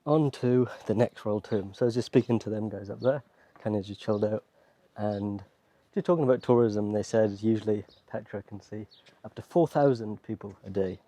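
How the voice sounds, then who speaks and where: A British man from Wales talking in a relaxed, conversational, appreciative way, like a casual travel vlog. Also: fairly low pitch, moderate pace. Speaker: travel vlogger. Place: outdoors and inside rock-cut tombs at a desert site.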